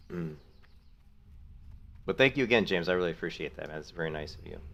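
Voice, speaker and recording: A middle-aged man with a sore throat chats casually with viewers as he streams a game. His voice sits low and deep. He rambles in a friendly way and keeps thanking people. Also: vocal fry, fairly bright tone, lo-fi sound. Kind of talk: casual chat while gaming.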